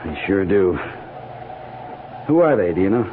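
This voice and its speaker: An actor playing a loyal, plainspoken cavalry sergeant with folksy, rustic speech in a 1950s radio western. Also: male voice, low-pitched, very animated, measured pace. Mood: matter-of-fact. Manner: folksy, ungrammatical rustic talk. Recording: tinny, noisy old radio recording.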